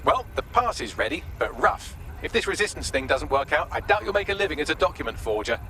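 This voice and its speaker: Bright, fast, wry male guide. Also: on the high side, big pitch swings, a little hiss or hum, full character voice. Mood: wry, teasing. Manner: dry, teasing. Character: wry, chatty male helper guiding an agent. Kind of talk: game voice acting.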